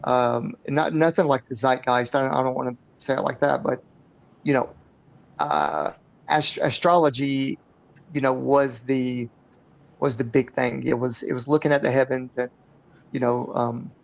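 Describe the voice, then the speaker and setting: Gravelly, hesitant man; muffled call audio. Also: mid-range voice, normal speed. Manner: hesitant, many fillers, self-correcting. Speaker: panelist. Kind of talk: casual panel discussion.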